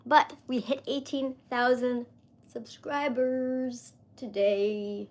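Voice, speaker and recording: A woman's voice: on the high side, steady tone, slow pace, slight background noise. A woman in her mid-thirties chatting casually on a live stream, rambling with lots of fillers as she talks to viewers. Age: 30s.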